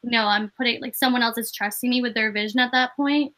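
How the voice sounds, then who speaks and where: Young woman, bright voice, fairly high, chatty, filler-heavy, casual interview guest. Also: steady tone, fast. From Maine. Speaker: guest, actress and comedian.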